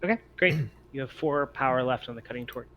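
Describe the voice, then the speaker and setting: A man acting as game master, casually narrating and explaining rules with a hesitant, halting delivery. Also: medium pitch, dull audio, a little hiss or hum.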